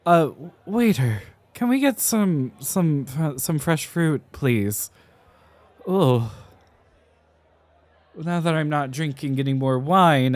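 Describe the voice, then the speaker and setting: Man acting as flustered young male scholar, tipsy, affectionately defensive, theatrical diction, slow halting delivery, ASMR roleplay, dry close recording. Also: medium pitch, no echo. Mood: tipsy, fussy. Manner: theatrical, enunciated, halting with sighs and flourishes. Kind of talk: ASMR roleplay, acted one-sided conversation.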